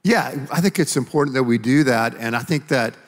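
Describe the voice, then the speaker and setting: A man's voice: medium pitch, brisk. A middle-aged man, a pastor and author, explaining earnestly in a stage sermon dialogue on a church stage, using a handheld mic. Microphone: handheld microphone. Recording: long hall reverb.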